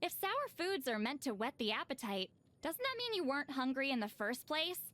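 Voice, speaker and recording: A woman's voice: high voice, big pitch swings, a little fast, a bit bright. A woman voicing a reclusive video game shaman, dry and weary yet easily flustered and defensive. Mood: casual, dry. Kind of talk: video game character voice lines.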